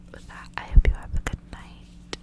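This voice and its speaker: A woman whispering close to the mic in a breathy, unvoiced ASMR style, unhurried and informal as a host. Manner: casual, rambling, frequent filler "like". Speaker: ASMR host reading a book aloud. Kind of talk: whispered ASMR reading and casual chat. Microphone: close ASMR microphone.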